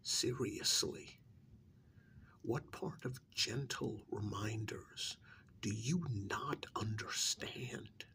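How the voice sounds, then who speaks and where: A man playing a stern, secretive government handler in an ASMR role-play, speaking softly in a pointed, reprimanding, deliberate way. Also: fairly low pitch, exaggerated voice. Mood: stern, scolding. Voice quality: breathy near-whisper with gravelly creak. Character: stern, secretive male government handler scolding an undercover agent.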